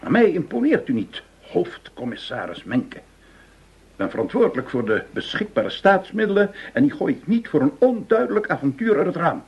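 A man's voice: a little high, sing-song, normal speed, husky, full character voice. A stiff government money man in a radio play, his raised voice sliding up and down with indignation. He is pompous and penny-pinching. The sound is dull and muffled. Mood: indignant. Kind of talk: Dutch radio play acting.

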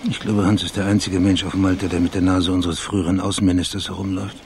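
An actor playing a sleuth in a German radio crime drama, with a gravelly voice and a terse, measured, matter-of-fact manner. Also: male voice, low voice, little pitch movement, noisy background. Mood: calm, grave. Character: low-voiced male sleuth calmly reconstructing a murder.

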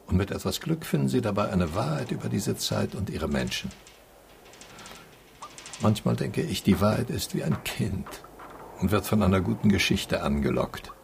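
Gravelly, very deep philosophical man. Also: sing-song, a little mellow, slight background noise, exaggerated voice. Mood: thoughtful. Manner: deliberate, musing, instructive. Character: philosophical, contemplative male mentor, musing on truth. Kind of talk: naturalistic radio drama acting.

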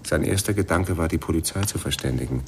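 A man playing a matter-of-fact police sergeant in a German radio crime drama, reporting formally and deliberately. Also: deep voice, a little mellow, a little hiss or hum. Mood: factual, grave. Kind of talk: radio play acting.

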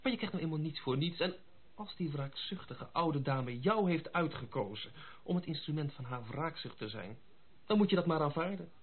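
Sensible husky gentleman, higher-pitched. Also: animated, normal speed. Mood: reasoning, sympathetic. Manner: reasoning, matter-of-fact. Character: sensible gentleman friend, loyal, pragmatic and measured. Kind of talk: radio drama acting. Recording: muffled lo-fi radio play recording.

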